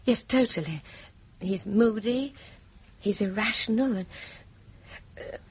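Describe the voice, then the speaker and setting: A woman's voice: mid-range voice, slow, husky, heavily acted. An actress playing a worried, emotional wife and mother in a horror radio play, hesitant and fretful. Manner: hesitant, fretful, breaking off and repeating herself.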